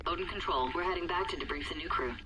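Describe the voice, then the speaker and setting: A woman's voice: lowish voice, fast talker, heavy background noise, close to an everyday voice. A woman acting a tough, urgent astronaut soldier in a military shooter game. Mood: businesslike.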